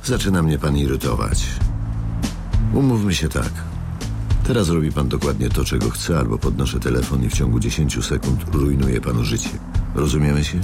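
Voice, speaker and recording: An actor playing a cold, menacing elderly company boss in a radio drama, gravelly and coolly formal, deliberate and controlling. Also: male voice, extremely low pitch, expressive, music in the background, heavily acted. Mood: cold threat. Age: older.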